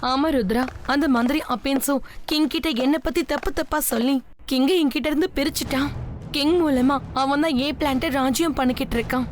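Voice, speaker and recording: A woman's voice: high-pitched, fairly even intonation, brisk, a little hiss or hum, close to an everyday voice. A woman playing a composed, wronged cartoon queen who explains matters solemnly. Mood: grave. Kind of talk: Tamil cartoon dub acting.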